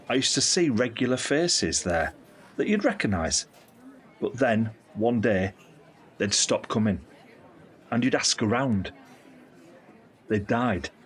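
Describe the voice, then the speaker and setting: Husky, lively British uncle. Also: male voice, lowish voice. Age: 50s. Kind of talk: audio drama acting, conversational monologue.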